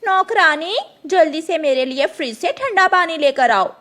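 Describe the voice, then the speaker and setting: A woman's voice: extremely high pitch, lively intonation, normal speed, a bit bright, voice pushed up. A woman voicing a bossy, greedy female crow in a children's cartoon, with a haughty, scolding manner. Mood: imperious.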